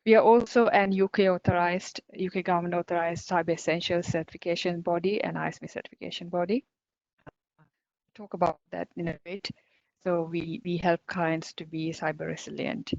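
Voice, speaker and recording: A chatty woman in her forties with a Sri Lankan accent gives a conference talk over a video call. Her voice sits fairly low for a woman and sounds close and dry. The call audio is dull and lo-fi. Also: fairly even intonation, brisk, gravelly, a little mellow, no echo. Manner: chatty and upbeat, many fillers and small laughs. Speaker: guest speaker, cybersecurity company director.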